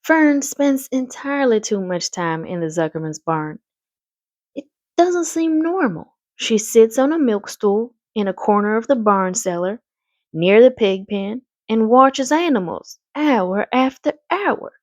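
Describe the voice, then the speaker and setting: High-voiced, fretful storybook mother, as a narrator plays her. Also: animated, a little slow, a little mellow, no room sound, clean studio sound. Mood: worried. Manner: anxious, vague, earnest. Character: fretful, proper mother of a young girl.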